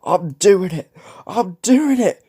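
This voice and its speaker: Guy doing an earnest storybook train engine, raised pitch, puffing away, proud and emotional. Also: expressive, slow pace, darkish tone. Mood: determined effort. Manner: puffing, exclamatory.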